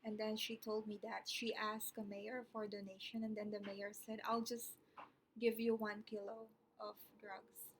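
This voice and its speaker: A woman's voice: medium pitch, little pitch movement, moderate pace, a little hiss or hum, poor audio. A young woman from the Philippines with a Filipino English accent, explaining things in a chatty, rambling vlog full of ums and asides. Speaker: vlogger.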